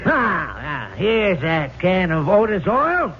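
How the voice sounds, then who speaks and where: Loudmouth american tycoon dad, swoopy delivery, slangy and scoffing, noisy old radio recording. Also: male voice, high voice, big pitch swings, takes it slowly, dull audio, exaggerated voice. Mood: scornful. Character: brash, skeptical, blustering American business tycoon father. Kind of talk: old-time radio drama acting.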